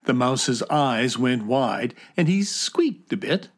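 A man narrating a children's fantasy audio drama in an expressive storytelling manner. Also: mid-range voice, no room sound, clean studio sound. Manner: slow, expressive.

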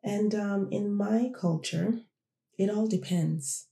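A podcast host born in Jamaica and raised in Toronto, musing in a loose, conversational way and often turning to the audience with questions. The voice is gravelly and mellow. It sounds like a roomy space with noticeable echo. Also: mid-range voice, takes it slowly. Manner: reflective, conversational, addresses listeners directly. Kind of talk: casual solo podcast talk.

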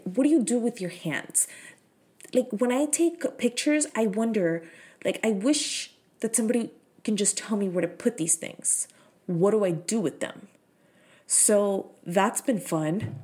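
A woman's voice: medium pitch, darkish tone. A woman, hosting her own podcast in frank, profane, filler-heavy casual chat. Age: 30s. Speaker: podcast host.

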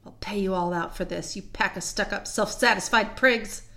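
A woman's voice: on the high side, big pitch swings, moderate pace, bright, forceful tone. A woman voicing a sly, spiteful younger schoolboy brother in a children's storybook, with peevish old-fashioned talk. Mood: vengeful.

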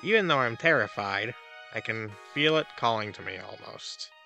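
Casting: male actor for a breezy, self-assured god hosting a comedy advice podcast, very crisp bright edge, theatrical emphasis, music underneath. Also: fairly low pitch, lively intonation, normal speed, exaggerated voice. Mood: reading a letter. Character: cheerful deluded god dispensing absurd, dangerous advice. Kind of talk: comedic audio drama monologue, advice show.

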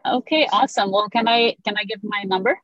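A woman's voice: mid-range voice, brisk, fairly bright tone, no room sound. Romanian-born woman broker, dry lo-fi sound. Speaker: real estate broker, guest. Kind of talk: conversational interview. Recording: dull lo-fi sound.

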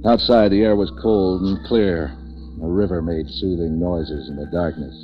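Actor as low-voiced deadpan tough guy, vintage radio. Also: normal speed, soft background music, lightly acted. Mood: deadpan, world-weary. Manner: deadpan, clipped, wisecracking. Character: street-wise tough hired hand, sardonic narrator hero. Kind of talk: 1940s radio detective acting and narration. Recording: dull vintage 1940s radio recording.

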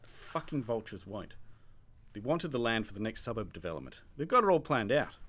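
A man voicing a brash, stubborn farmer in his late thirties who swears and pushes, raised and loud against his own voice. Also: sing-song, a little fast. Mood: frustrated. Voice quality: higher, louder, blustery delivery. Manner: swearing, cocky, pushy.

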